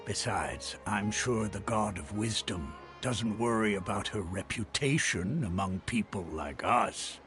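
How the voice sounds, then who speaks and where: An actor playing an easygoing adult man in town who gives out information in a relaxed, wry way, with game music behind. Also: on the low side, unhurried, few pauses. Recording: game audio with background music.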